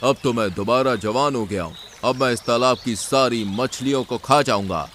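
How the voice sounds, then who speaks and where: A man's voice: medium pitch, normal speed, fairly bright tone, a little hiss or hum, lightly acted. Man voicing a boastful, menacing cartoon heron. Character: arrogant, cruel, greedy male heron, newly young again.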